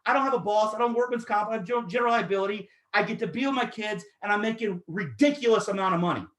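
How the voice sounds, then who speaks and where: Hyped-up guy in his 30s pitching hard to a crowd, highish voice, echoey room. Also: fast talker. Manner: energetic, persuasive, talks straight to the audience. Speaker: network marketing presenter. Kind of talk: motivational sales pitch.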